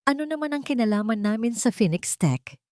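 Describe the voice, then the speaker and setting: A woman's voice: on the high side, animated, a little fast, darkish tone, no room sound, pitched above the performer's own voice, close to an everyday voice. An anxious, pleading young heir from a family melodrama, read by a woman. The voice is dry and close. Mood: puzzled. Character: desperate adult son of a failing rich family.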